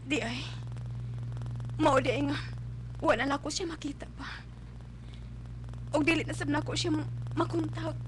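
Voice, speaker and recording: A woman's voice: sits high, steady tone, a little slow, long pauses, a little hiss or hum, rough recording. A woman playing a young, lovesick student mother in a melodramatic radio drama, plaintive and emotive, with a Cebuano Filipino accent. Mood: subdued. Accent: Filipino, Cebuano-speaking. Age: young adult. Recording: background music in live radio capture.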